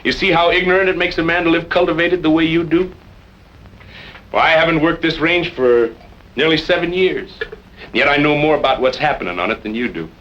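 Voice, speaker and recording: An actor playing a cocky, taunting range drifter with slangy, garrulous talk and an American Western accent in an old-time radio western. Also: male voice, on the high side, fairly quick, a bit bright, natural voice. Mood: taunting, sly. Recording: muffled, faintly hissy old-time radio recording.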